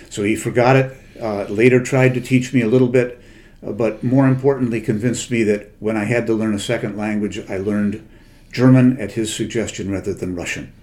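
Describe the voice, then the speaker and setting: A man's voice: fairly low pitch, darkish tone. Older man, thoughtful and digressive. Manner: thoughtful, digressive, many hesitations and self-corrections. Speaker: literary translator and guest speaker. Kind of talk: conversational lecture.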